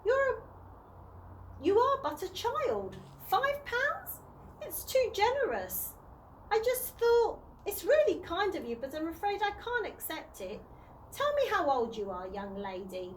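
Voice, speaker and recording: Woman playing a courteous old tramp, posh, formal, halting, high-pitched, storybook character, faint background noise. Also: very animated, exaggerated voice. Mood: polite, kindly. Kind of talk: children's storybook read-aloud.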